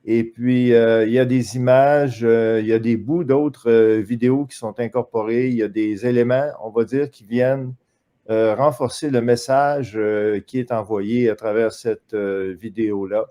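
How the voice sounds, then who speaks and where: A man with a Quebec French accent speaks slowly and deliberately, his voice dark and mellow. He lectures as an interview guest, pausing often with hesitations. The sound is that of a video call. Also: mid-range voice, steady tone. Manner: measured, many hesitations, lecturing. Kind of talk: live online interview, explaining history.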